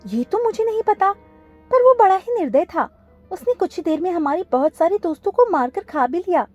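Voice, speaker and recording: A woman's voice: extremely high pitch, lively intonation, moderate pace, darkish tone, muffled recording, faint music behind, pitched above the performer's own voice. A woman voicing a polite, loyal female butterfly in a cartoon story, with a courteous, deferential manner. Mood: alarmed.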